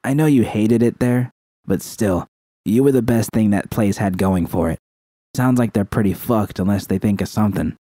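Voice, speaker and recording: A man's voice: fairly low pitch, normal speed, dark tone, dry recording, lightly acted. A man voicing a blunt, teasing, foul-mouthed tough woman in audiobook dialogue. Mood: sympathetic.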